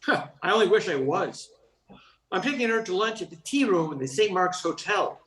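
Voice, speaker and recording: A man's voice: high-pitched, lively intonation, normal speed, fairly bright tone. A man playing an awkward, flustered professor in a radio comedy play, hesitant and prone to stammering. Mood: earnest. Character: awkward, flustered, charmless male professor smitten with a colleague.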